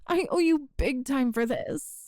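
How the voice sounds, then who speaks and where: High, mellow, flustered time-traveler played by a woman. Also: a little slow, no echo, clean studio sound. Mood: grateful, hesitant. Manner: hesitant, earnest, self-deprecating. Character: flustered, apologetic, grateful young female time traveler from future. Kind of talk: ASMR roleplay, one-sided conversation with the listener.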